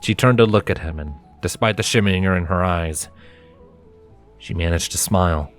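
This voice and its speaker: A man reading scripted fiction as narrator, in a measured and expressive storytelling manner, with music behind him. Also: sits low.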